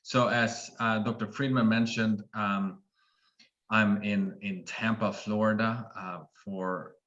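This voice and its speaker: A middle-aged man from Sweden with a Swedish accent, giving a webinar presentation as a surgeon in a halting way, full of fillers and restarts. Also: on the low side, steady tone, a bit bright, muffled recording. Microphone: video call.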